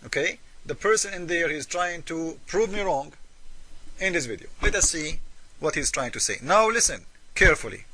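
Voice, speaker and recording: A high, bright-toned man with Arabic as his first language, speaking English and arguing a point straight to his viewers. He presses hard with rhetorical questions and scornful jabs, keeping the tone combative throughout. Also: animated, normal speed, low-quality recording. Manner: emphatic and mocking, fires rhetorical questions. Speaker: religious debate commentator. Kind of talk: argumentative commentary to the viewer.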